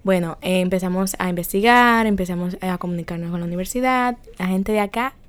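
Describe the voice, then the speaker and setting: A young Dominican woman guests on a radio show in the studio. She speaks with a Dominican accent and tells her story in a lively, animated way. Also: lowish voice, fast talker, smooth. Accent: Dominican Spanish. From Dominican Republic. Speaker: student guest. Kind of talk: radio interview.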